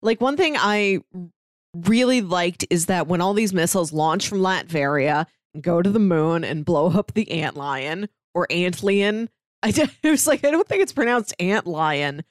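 A woman's voice: on the low side, animated, moderate pace, no room sound, studio quality. A woman co-hosting a podcast, talking in a casual, conversational way about a book. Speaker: podcast co-host. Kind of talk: casual book discussion chat.

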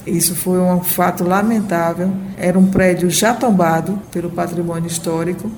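Low, mellow Northeastern Brazilian woman. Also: steady tone, normal speed, a little hiss or hum. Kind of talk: spoken interview explanation.

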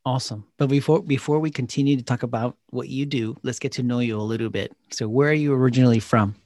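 A man's voice: mid-range voice, fairly even intonation, fast talker, darkish tone, no echo, clean studio sound. A man hosting a podcast, reading a scripted intro and then interviewing a guest. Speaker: podcast host.

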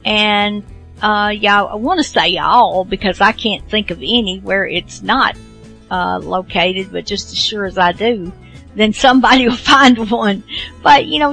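Slow, creaky-voiced woman hosting casually. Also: medium pitch, a bit bright, rough recording. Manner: halting, rambling delivery. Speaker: host of an old-time radio show. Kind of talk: casual, unscripted show introduction.

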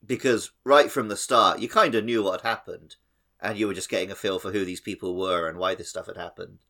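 A man's voice: sits low, steady tone, brisk, vocal fry, bright, forceful tone. A man hosting a podcast, talking casually about video games. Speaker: podcast host. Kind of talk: casual gaming podcast chat.

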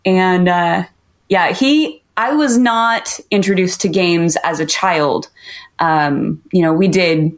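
A woman from Southern California, a guest on a podcast, telling about her hometown in a chatty, lively way. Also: lowish voice, slow. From Southern California, USA. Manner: chatty and lively, laughs often. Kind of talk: casual podcast conversation.

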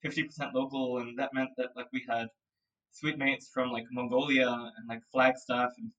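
Guest, twenties, thoughtful and rambling, slightly distant mic. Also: male voice, medium pitch, little pitch movement, normal speed, fairly bright tone. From Singapore. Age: 20s. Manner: reflective, rambling with many fillers and laughs. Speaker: guest, audio production founder. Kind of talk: casual remote interview chat.

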